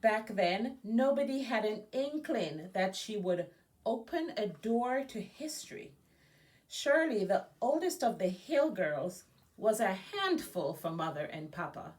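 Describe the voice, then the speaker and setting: A woman's voice: mid-range voice, sing-song, unhurried, a little mellow. Jamaican-born woman reading a story aloud. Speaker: education nonprofit executive director reading as guest. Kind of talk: children's story time read-aloud with informal asides.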